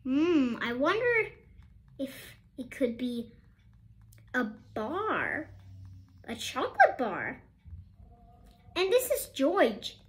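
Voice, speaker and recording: A woman's voice: sits high, wildly swooping pitch, very slow, pauses often. A woman reading a children's picture book aloud expressively, with questioning asides to young listeners. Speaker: storybook reader.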